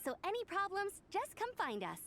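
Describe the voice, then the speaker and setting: A woman's voice: very high-pitched, huge pitch swings, normal speed, fairly bright tone, full character voice. A woman voicing a friendly, upbeat young female scout in a video game, earnest and chipper. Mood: helpful, informative.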